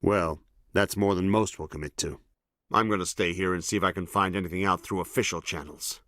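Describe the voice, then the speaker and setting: Low-voiced, blunt admiral. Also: male voice, steady tone, fairly quick, pushed and bright, dry recording, clean studio sound. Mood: frustrated, weary. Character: senior military admiral, frustrated, gruff and resolute. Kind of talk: video game voice acting.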